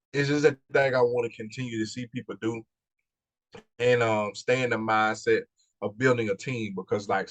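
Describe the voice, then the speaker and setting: A man's voice: on the low side, poor audio. A man from Mississippi, a business coach and podcast guest, giving earnest motivational advice casually and repeating phrases as he goes. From Mississippi, USA. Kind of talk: casual motivational interview talk.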